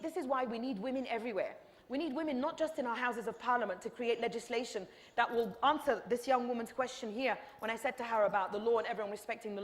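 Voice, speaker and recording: Impassioned woman in a reverberant hall. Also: fairly high pitch. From Egypt. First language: Arabic. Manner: impassioned, rhetorical repetition. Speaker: author and panel speaker. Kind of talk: lecture Q&A answer.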